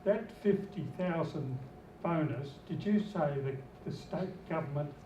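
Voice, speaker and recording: An older man with a hoarse voice, speaking as a resident at a public meeting, blunt and rambling. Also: on the high side, measured pace, mellow, far from the mic. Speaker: resident asking questions. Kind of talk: public meeting question from the floor. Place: civic centre meeting hall. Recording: echoey hall, noisy audience.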